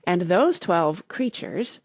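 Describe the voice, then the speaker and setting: Little girl, woman narrator performing: polite, eager, earnest storybook heroine, animated pitch. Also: slow pace, dry recording, studio quality, exaggerated voice. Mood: musing, proud. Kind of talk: audiobook storybook character.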